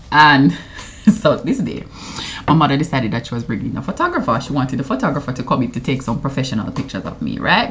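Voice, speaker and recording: Chatty Jamaican woman vlogging. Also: extremely low pitch. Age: 30s. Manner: chatty and playful, slipping into patois. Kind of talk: casual anniversary vlog to camera.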